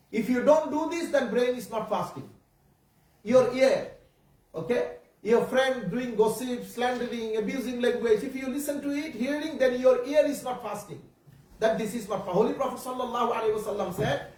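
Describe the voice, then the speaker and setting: A man whose first language is Bengali, preaching a sermon to children in English, halting and repeating himself, often asking the audience questions. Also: very high voice. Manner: halting, repetitive, searching for words. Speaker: Islamic preacher. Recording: echoey room, distant mic, faint background noise.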